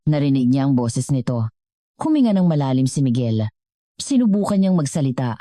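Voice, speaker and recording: A woman's voice: extremely low pitch, sing-song, a little fast, warm tone, dry recording, clean studio sound. A woman with a Filipino accent reading a scripted dramatic story as its narrator.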